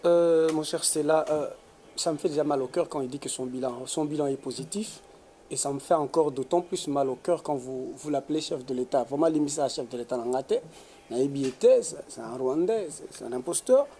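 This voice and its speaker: Guest, indignant and emphatic, lots of "euh", mellow tone, faint background hum. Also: medium pitch, a little fast. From DR Congo. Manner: indignant, emphatic, many hesitations. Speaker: political commentator guest. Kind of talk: interview answers.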